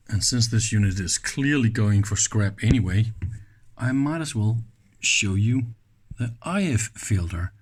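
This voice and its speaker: Slow-paced man musing over electronics. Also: medium pitch. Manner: musing aloud with short exclamations. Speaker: electronics hobbyist presenting a teardown. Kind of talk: casual unscripted hands-on commentary.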